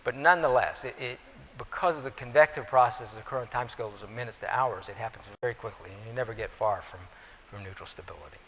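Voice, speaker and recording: A man with a bright, clear voice works patiently through a technical answer, pausing to check in with the audience. His voice rings with the long reverb of a lecture hall. He speaks in a measured, explanatory way. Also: medium pitch, slight background noise. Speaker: atmospheric physicist answering audience questions.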